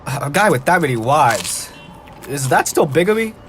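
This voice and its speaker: A man's voice: fairly high pitch, sing-song, moderate pace, fairly bright tone. A man acting an eager, easily impressed construction worker on stage, chatting curiously and bantering with his pals. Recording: outdoor recording with background noise, sirens.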